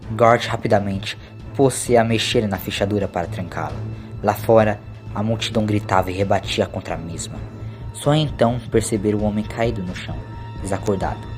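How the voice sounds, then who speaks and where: A man's voice: on the low side, normal speed, music in the background. A man narrating a scripted audio story in a dramatic storytelling style.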